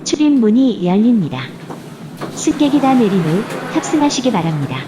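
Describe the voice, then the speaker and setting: A woman's voice: a little high, very animated, moderate pace. A woman's synthetic text-to-speech voice reading formal, polite automated station announcements. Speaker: train announcer. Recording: loud train carriage noise. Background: train running and rail noise.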